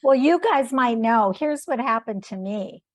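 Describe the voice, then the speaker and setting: A woman's voice: a little high, expressive, unhurried, no echo. A woman taking part in a casual group discussion on an online call. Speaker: group participant.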